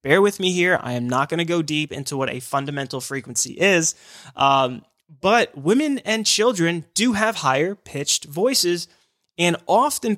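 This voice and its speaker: An earnest man who works with hearing patients gives an encouraging podcast explainer. His voice sits a little high for a man. The sound is dry and close, with no room echo. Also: fairly bright tone. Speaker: hearing care professional. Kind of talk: educational podcast talk.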